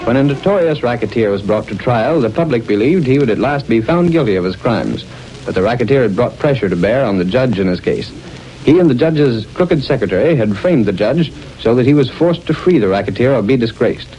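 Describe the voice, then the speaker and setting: A man acting as announcer, narrating an old-time radio serial in a measured, formal, dramatic way. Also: medium pitch, lively intonation, warm tone, faint music behind, lightly acted. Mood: solemn. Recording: dull, band-limited old radio recording.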